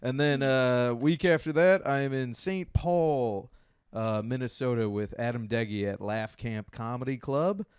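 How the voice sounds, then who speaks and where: A young man co-hosting a comedy podcast, joking and swearing through quick banter. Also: mid-range voice, darkish tone, smooth, no echo, clean studio sound. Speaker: podcast co-host. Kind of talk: casual comedy chat.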